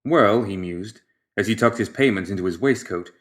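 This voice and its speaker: A man reading scripted fiction as an audiobook narrator, with expressive storytelling. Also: on the low side, normal speed.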